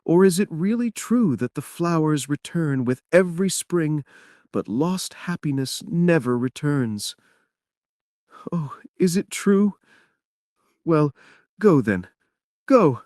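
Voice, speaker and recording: A man's voice: on the high side, animated, takes it slowly, long pauses, a little mellow, no room sound, clean studio sound, voice pushed up. A man voicing a sad, lonely, pleading wife in a theatrical, plaintive reading of a stage play. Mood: pleading, tender.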